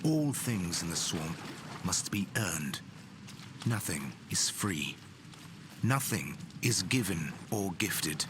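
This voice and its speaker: Deep slow guy stern swamp village leader preaching, fantasy game, noisy ambience. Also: sing-song, heavily acted. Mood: stern, solemn. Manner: solemn, preaching. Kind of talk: solemn video game monologue.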